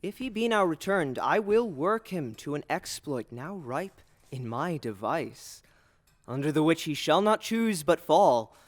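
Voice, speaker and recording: A male actor playing a scheming, manipulative king in a Shakespearean audio drama, speaking in a deliberate, persuasive, theatrical way. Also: a little high, very animated. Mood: scheming, goading. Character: scheming usurper king, smooth, manipulative middle-aged man.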